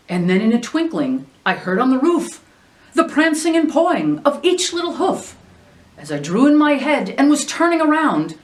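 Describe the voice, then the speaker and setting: Expressive woman reading, roomy distant sound. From New Jersey, US. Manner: expressive storytelling with wide swings in pitch and loudness. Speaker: reader. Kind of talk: reading a Christmas poem aloud.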